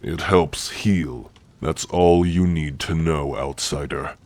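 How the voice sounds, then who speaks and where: Man acting deep, slow, stern orc warrior-healer. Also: heavily acted. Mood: gruff, guarded. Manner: slow, formal, commanding. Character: stern, gruffly caring male orc warrior-healer, chief's son. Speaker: roleplay voice actor. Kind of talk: ASMR roleplay.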